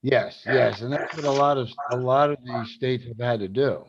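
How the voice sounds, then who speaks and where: A man's voice: mid-range voice, moderate pace, lo-fi sound, background noise. A man from South Carolina, hosting a casual advocacy livestream, rambling with many fillers and restarts. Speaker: cannabis advocacy group host.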